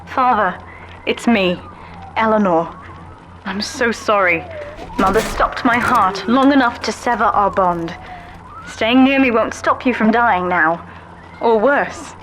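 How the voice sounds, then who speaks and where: An actress playing a devoted, resolute young daughter, gentle and sheltered, speaking softly and deliberately in a dramatic video game. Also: female voice, mid-range voice, a little hiss or hum, rough recording. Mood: tender, urgent.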